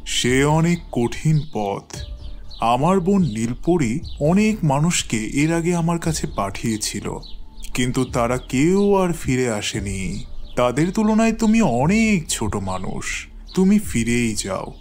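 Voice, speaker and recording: A man playing a grave talking clay pot, a magical helper in an animated fairy tale, speaking in a solemn, measured, cautioning way. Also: medium pitch, faint music behind. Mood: grave warning.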